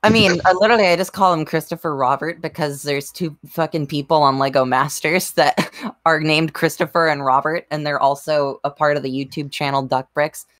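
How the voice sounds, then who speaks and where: Female podcast panelist, notably low voice, dry close recording, informal conversational delivery. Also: steady tone, a little fast, fairly bright tone, dry recording. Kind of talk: casual chat.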